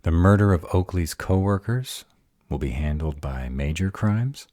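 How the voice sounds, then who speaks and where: A man playing a protective, grumpy were-dragon boyfriend in a fantasy audio drama, gruff but deferential to authority. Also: sits low, animated, a little slow, dark tone, no echo, clean studio sound. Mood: serious accusation.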